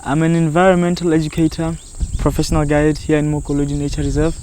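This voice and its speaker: A man's voice: fairly high pitch, completely flat delivery, fast, warm tone, slight background noise. A young man, an environmental educator and guide, introducing himself in an earnest interview with small hesitations.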